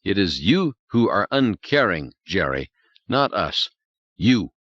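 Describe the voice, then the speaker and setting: A calm man who reasons things through earnestly, as a male narrator reads him. His voice rises a little above the reader's own, with lively pitch. The recording is dry and slightly dull. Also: slow, no room sound, exaggerated voice.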